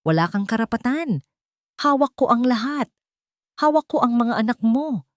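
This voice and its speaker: A woman acts out an arrogant Filipino congressman in a romance audio drama. He is forceful, indignant and threatening, pitched up and recorded dry. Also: lively intonation, normal speed, no echo, lightly acted. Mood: menacing. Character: arrogant, threatening male congressman husband, cornered and defiant.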